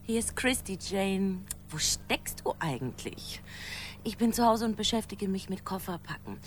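A woman's voice: mid-range voice, lively intonation, unhurried, lightly acted. An actress playing a breezy woman chatting with a friend, in a naturalistic radio drama. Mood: busy, friendly. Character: breezy woman confiding in her friend while packing for a trip.

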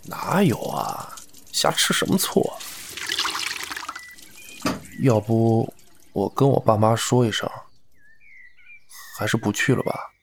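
A man's voice: fairly low pitch, animated, slow pace, creaky, long pauses, slight background noise, exaggerated voice. A man playing a wry grown son in his late thirties, casual and dry in a drama. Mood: teasing, hesitant. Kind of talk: naturalistic drama dialogue.

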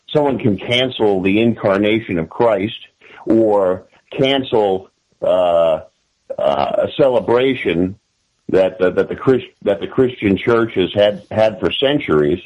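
Low-voiced American man, halting radio-style delivery. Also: animated, a little mellow, low-quality recording. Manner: halting, repeats and restarts phrases. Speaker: podcaster, interview guest. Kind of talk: conversational political interview.

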